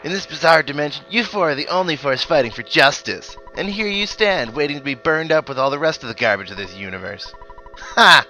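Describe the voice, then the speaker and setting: A man's voice: a little high, lively intonation, brisk, sharp tone, highs cut off, faint music behind, pitched above the performer's own voice. A man voicing an obnoxious, gloating boy villain from a video game, with a taunting, theatrical, mock-pitying delivery. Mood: gleeful mock pity. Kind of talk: read-aloud video game villain dialogue.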